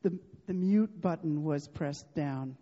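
A woman chairing a club business meeting, brisk and procedural, with a loud voice. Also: sits low. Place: meeting room. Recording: muffled echoey hall sound.

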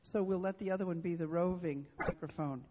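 A woman chairing a club business meeting, brisk and procedural, with a loud voice. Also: fairly low pitch, a little hiss or hum. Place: meeting room. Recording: muffled echoey hall sound.

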